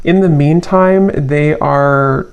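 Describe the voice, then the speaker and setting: Halting, thoughtful tarot reader thinking aloud. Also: medium pitch, clear, resonant tone, muffled recording. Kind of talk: casual, intuitive tarot reading to viewers.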